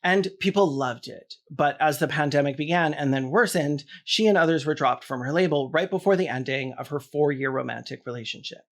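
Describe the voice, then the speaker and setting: A man's voice: on the high side, a little fast, few pauses. A man hosting a scripted video essay, delivering commentary with sarcastic, wry asides.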